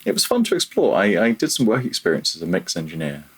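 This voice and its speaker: Male interview guest, deep and husky, informal, modest, feeling his way through each thought. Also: huge pitch swings, quick pace. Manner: hesitant, self-deprecating, thinking aloud. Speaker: podcast guest, freelance audio developer. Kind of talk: casual interview chat.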